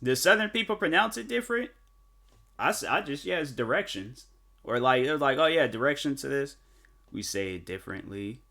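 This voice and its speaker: A man's voice: medium pitch, lively intonation, gravelly, bright, forceful tone. Rambling young man streaming a racing game. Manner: rambling, halting, lots of fillers and restarts. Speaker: gaming streamer. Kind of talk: casual live-stream chat while racing. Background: game music and car engine sounds.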